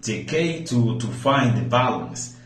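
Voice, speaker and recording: A man's voice: mid-range voice, expressive, slow pace, echoey room. A man from the Dominican Republic with a Dominican accent, speaking hesitantly in a prepared speaking-practice monologue, often restarting and searching for words.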